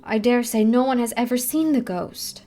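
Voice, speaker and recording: A woman's voice: fairly high pitch, normal speed, mellow, lightly acted. A woman voicing a proud lead dancer who puts on a brave front, speaking in a commanding way for a storybook. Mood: firm, reassuring. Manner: commanding, forced courage.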